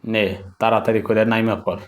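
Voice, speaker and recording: A man's voice: on the low side, level tone, brisk, natural voice. A man voicing a poor, hardworking middle-aged father in a cartoon, bossy and colloquial, with a Bangladeshi accent. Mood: bossy, earnest. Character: poor, hardworking middle-aged honey collector, gruff but devoted father. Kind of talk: cartoon voice acting. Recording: clear background noise.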